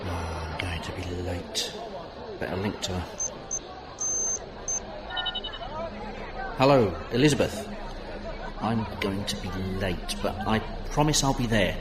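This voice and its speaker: A man's voice: low voice, animated, normal speed, roomy sound, music in the background, a crowd in the background. A man acting a harried, brusque factory director in an audio drama, curt and bossy. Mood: soft, apologetic.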